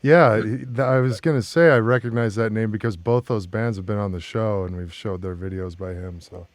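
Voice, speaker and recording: A man's voice: lowish voice, fairly quick, no room sound. A man with a North American accent hosting a casual chat interview as a talk show host. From Portland, Oregon, United States.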